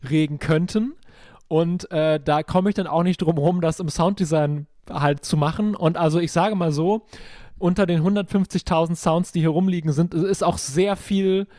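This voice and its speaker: A man's voice: fairly high pitch, fairly even intonation, moderate pace, a little mellow, no echo, clean studio sound. A man speaking as a podcast guest and sound designer in casual chat, with a jokey, understated irony.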